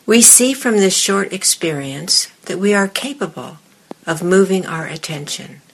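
A woman with a somewhat low, breathy voice guides a meditation. She speaks very slowly and deliberately, in short phrases with space between them. Her tone is husky, and she talks as a teacher addressing a seated group. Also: animated. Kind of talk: guided meditation and dharma talk to a group in the room.

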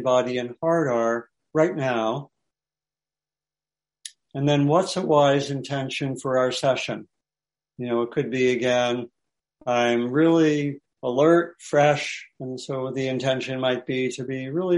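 A man teaching meditation, giving light guided instructions in short phrases with pauses between them. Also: medium pitch, darkish tone.

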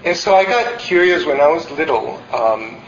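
A man's voice: on the high side, expressive, moderate pace, creaky, a little hiss or hum. A man in his forties from El Salvador giving a candid, reflective meditation talk, with many fillers and restarts. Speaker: meditation teacher. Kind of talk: dharma talk. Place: meditation hall. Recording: roomy, slightly dull hall recording.

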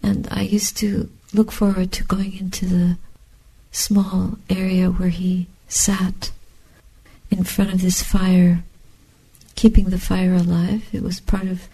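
Older female meditation teacher: hushed, very husky, gravelly voice, contemplative delivery in short, spaced phrases. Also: lowish voice, little pitch movement, poor audio. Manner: measured, contemplative, short phrases with long pauses. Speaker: Buddhist nun and meditation teacher. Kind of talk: reflective dharma talk and guided meditation.

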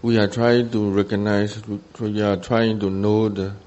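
Slow, low-voiced Burmese-accented man guiding meditation. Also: flat delivery, darkish tone, dull audio. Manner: short simple phrases with long pauses. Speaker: meditation teacher, Buddhist monk. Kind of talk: guided meditation instruction.

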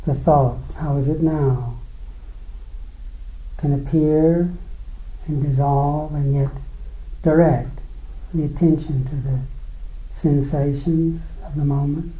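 A man leads a guided meditation in a roomy, echoing space. His voice is very dark and mellow. He moves slowly, setting out short phrases and pausing between them. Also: on the high side, steady tone. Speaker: meditation teacher. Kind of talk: guided meditation, dharma talk.

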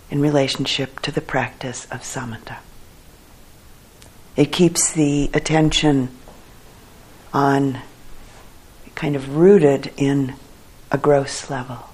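A woman leading a guided meditation for a group as their teacher, speaking in a calm, measured way with long pauses. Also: sits low, slightly rough, low-quality recording.

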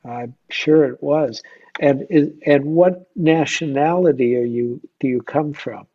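Man, husky, muffled call audio, meditation teacher, informal question-and-answer style. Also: fairly high pitch, a little slow, warm tone. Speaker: meditation teacher leading a group discussion.

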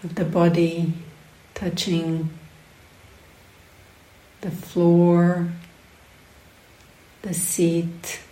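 Very slow woman guiding meditation. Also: fairly low pitch, flat delivery, dark tone. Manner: unhurried, short phrases with long pauses. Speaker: meditation teacher. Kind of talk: guided meditation. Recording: roomy, echoing sound.

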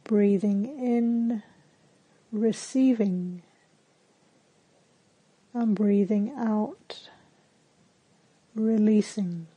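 Female meditation guide, medium pitch, deeply relaxed mellow tone, calm and soothing, slow delivery. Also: slightly rough, poor audio. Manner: calm, gentle, soothing, with long pauses. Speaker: meditation teacher.

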